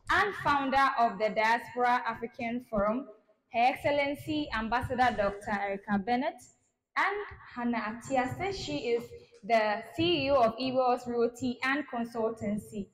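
A woman's voice: fairly high pitch, a little slow. Woman, master of ceremonies, polite formal announcing, echoey room.

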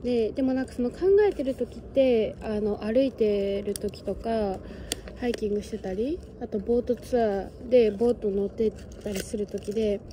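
A woman's voice: mid-range voice, steady tone, normal speed, warm tone, a little hiss or hum. A young woman from Japan in her twenties chatting casually to her phone camera on an outdoor hilltop, musing aloud as she goes. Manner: chatty, musing, talks to birds and cats in a sing-song voice. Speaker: travel vlogger. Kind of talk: casual vlog chat to camera. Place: outdoors on a windy hilltop fortress. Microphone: phone camera close by.

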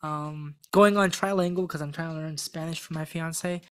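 A man's voice: a little high, normal speed, clean tone. A young man of Korean background, a podcast guest, telling a story in casual talk full of restarts, close to a studio mic in a small room. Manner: animated storytelling with many restarts. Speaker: podcast guest, former contestant. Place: small room with a gray backdrop. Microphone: studio mic on a stand in front.

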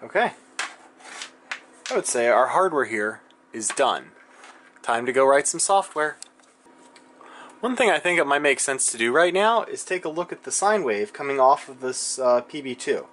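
A man's voice: mid-range voice, lively intonation, moderate pace, long pauses, fairly bright tone. A man informally narrating a hands-on electronics demonstration, musing to himself as he works at his bench. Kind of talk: casual hands-on demonstration, thinking aloud. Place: cluttered indoor electronics workbench.

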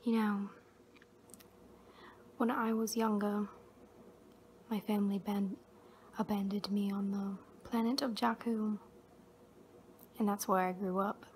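A woman in her twenties playing a gentle young scavenger turned Jedi in a whispered ASMR roleplay, soft, breathy and soothing, close to the mic. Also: medium pitch, flat delivery. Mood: soft, wistful. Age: 20s. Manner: slow, hushed, soothing, with long pauses. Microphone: close to the mouth. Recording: noisy close-up homemade recording.

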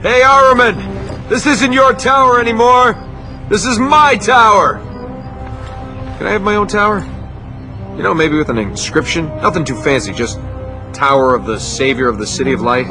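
An actor playing a glib, sarcastic young adventurer hero in a video game. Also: male voice, high voice, expressive, normal speed, pauses often, over music. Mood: boastful. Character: cocky wisecracking young male adventurer hero.

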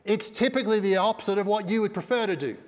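High-voiced pastor preaching in a hall. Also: male voice, fast talker. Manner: emphatic preaching with broad hand gestures. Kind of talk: sermon. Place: large dark church stage. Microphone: headset mic. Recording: long reverb of a big hall.

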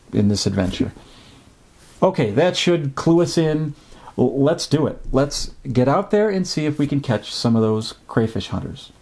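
Man, 50s, fishing host, measured explanatory delivery, filler ums, mid pitch, indoor desk setup. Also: a little mellow. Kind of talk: instructional fishing talk. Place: indoor home office.

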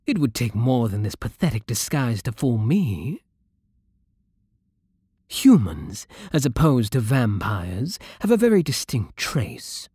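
A man plays a regal, possessive vampire king with a British accent in an ASMR roleplay. The voice is very breathy and close, in a dry recording. He speaks slowly, with haughty, seductive menace. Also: medium pitch, sing-song, no room sound, heavily acted. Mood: smug. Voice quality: breathy, soft. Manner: slow, menacing, haughty purring.

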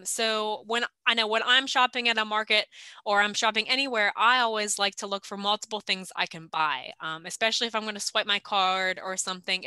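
A young woman talks through a training slideshow in a practical, chatty way, often pausing on "um." Her voice is bright and smooth. The sound is dry and close, picked up on a webcam mic. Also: mid-range voice, no room sound. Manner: practical, conversational, with frequent "um" fillers. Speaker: extension agent giving vendor training. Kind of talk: recorded online training presentation over slides. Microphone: video call webcam.

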